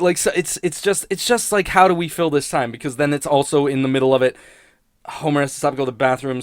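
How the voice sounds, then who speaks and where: A man's voice: medium pitch, dry recording, studio quality. A man rambling casually and sardonically in an unscripted review, swearing freely like a podcast critic.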